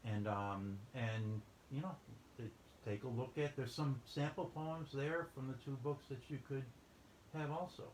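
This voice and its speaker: A man's voice: mid-range voice, steady tone, unhurried, a little mellow. Chatty male host in an echoey room. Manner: rambling, filler-heavy, chatty. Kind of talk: casual interview. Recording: roomy, with a faint background hum.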